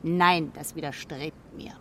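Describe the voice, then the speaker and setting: A woman's voice: low-pitched, steady tone, takes it slowly, slight background noise. A woman playing a haughty, aloof female hawk in a children's radio play, speaking in a brusque, proud way. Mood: haughty, dismissive.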